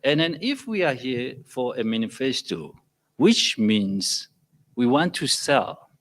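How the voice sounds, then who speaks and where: A man's voice: medium pitch, sing-song. Emphatic South African man, non-native speaker. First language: not English. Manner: emphatic, rising to loud peaks, halting phrasing. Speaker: audience member. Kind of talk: impassioned public comment. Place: public meeting room.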